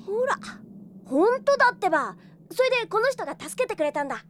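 A woman playing a cheeky, excitable, chatty young boy in an audio drama, eager and boyish. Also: very high-pitched, a little fast, a little hiss or hum, exaggerated voice. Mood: excited. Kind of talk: Japanese audio drama acting.

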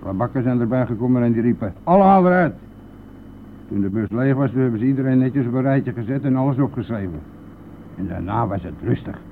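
An actor playing a brash, defensive bus driver in a Dutch radio play, blustering and scoffing in colloquial speech. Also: male voice, mid-range voice, lively intonation, a little fast, natural voice. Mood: callous, boastful. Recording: tinny band-limited old radio recording, noisy.

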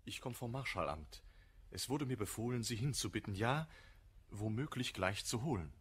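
Very husky, hesitant messenger. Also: male voice, mid-range voice, expressive, fairly bright tone. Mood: hesitant, formal. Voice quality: very husky, subdued. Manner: halting, formal. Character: hesitant, deferential official messenger. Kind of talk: German radio play, classical verse comedy.

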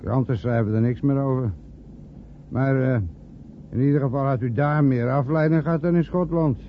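A man's voice: medium pitch, steady tone, moderate pace, lo-fi sound, close to an everyday voice. A man playing an obliging, matter-of-fact chauffeur talking to his employer in a naturalistic radio drama. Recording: thin, noisy old radio play recording.

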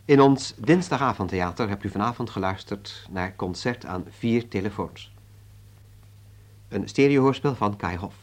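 Low-voiced male radio announcer. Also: moderate pace. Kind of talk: formal scripted announcement of cast credits.

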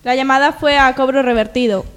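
A woman with a distinctly high voice co-hosts a comedy radio show. She reads spoof news items, slipping between mock newscast and casual banter. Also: steady tone, brisk, smooth, big-room sound.

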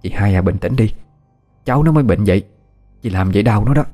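A man's voice: lowish voice, expressive, fast, mellow, soft background music. A man voicing an alarmed, protective middle-aged mother with a Southern Vietnamese accent in a dramatised audio story. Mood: pleading. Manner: exclaiming, scolding.

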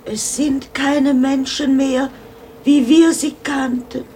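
A woman playing an anxious, frightened old grandmother in a German radio play, her voice often sinking toward a breathy half-whisper. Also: high voice, little pitch movement, takes it slowly, a little mellow, slight background noise. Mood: urgent.

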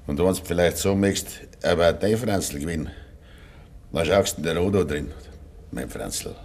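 Very deep, gravelly old Bavarian farmer. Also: male voice, lively intonation, normal speed, a little mellow. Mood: bitter, gruff. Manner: curt, brooding. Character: gruff, stubborn, grieving old male farmer. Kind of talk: 1950s German dialect radio play acting. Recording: 1950s radio recording with faint hiss.

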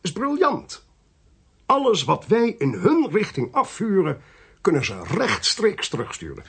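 A calculating, ruthless intelligence official in a Dutch radio drama. His voice is markedly husky and fairly high, with lively swings in intonation. He stays measured and condescending, cold beneath the polite phrasing. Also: full character voice. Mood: confiding, grave. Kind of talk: Dutch radio play acting.

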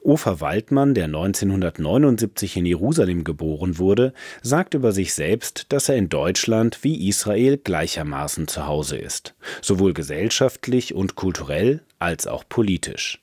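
A man works as a radio presenter, delivering scripted narration. His voice sits very low but moves with lively, varied intonation. The sound is dry, with hardly any room in it. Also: normal speed, hardly any pauses, husky, no room sound.

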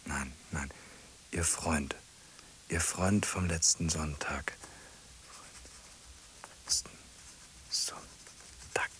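Ein Schauspieler spielt in einem deutschen Hörspiel einen einsamen, obsessiven, ängstlich flehenden Mann, heiser und oft flüsternd, der in kurzen Wiederholungen mit sich selbst redet. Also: male voice, extremely low pitch, fairly even intonation, very slow, long pauses, a bit bright, heavily acted. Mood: whispered, wistful. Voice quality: husky, often dropping into whispers. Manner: muttering to himself in short repeated phrases. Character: lonely, obsessive man, anxious and pleading. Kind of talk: German radio drama acting.

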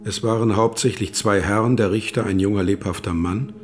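An actor playing a sober narrator in a radio play, reading literary prose in a measured, matter-of-fact manner. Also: male voice, on the low side, steady tone, a little mellow, soft background music, close to an everyday voice.

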